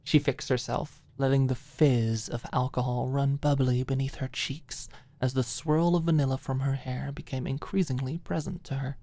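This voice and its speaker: A woman's voice: a bass voice, fairly even intonation, normal speed, few pauses, mellow, no echo. A woman reading scripted story narration as the narrator of an ASMR audiobook.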